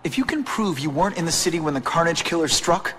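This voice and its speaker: An actor playing a young, principled superhero in a video game, conversational and wry. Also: male voice, fairly high pitch, expressive, fast talker, sharp tone, slight background noise. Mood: calm, questioning. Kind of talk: video game cutscene acting. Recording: music under the voice.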